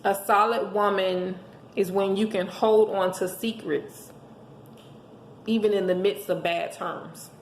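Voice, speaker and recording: A hoarse woman giving an emphatic, preachy motivational monologue, speaking as a host handing out relationship advice to listeners. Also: mid-range voice, steady tone, slow pace. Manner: emphatic, preachy, rhetorical questions. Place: indoors at home. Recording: echoey room with faint background noise.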